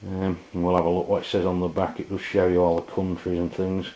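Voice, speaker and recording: A man reviews a product off the cuff. His delivery is halting and informal, feeling its way from point to point. The sound is dull and lo-fi, like an amateur video. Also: low-pitched, completely flat delivery, dark tone. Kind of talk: casual unscripted review.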